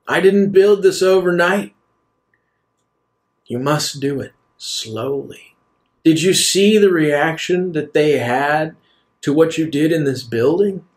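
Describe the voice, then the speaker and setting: Man acting a slow, grave elder leader. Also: fairly high pitch, expressive. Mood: insistent. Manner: slow, deliberate, solemn delivery with pauses. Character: aged male leader, measured, grave, philosophical, persuasive. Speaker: game master. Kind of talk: in-character tabletop roleplay.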